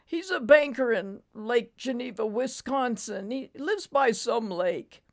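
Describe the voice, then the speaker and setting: A woman voicing a gentle, folksy older male trucker who is ailing, in an audiobook story. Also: fairly high pitch, expressive, slow, pitched above the performer's own voice. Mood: fading, resigned.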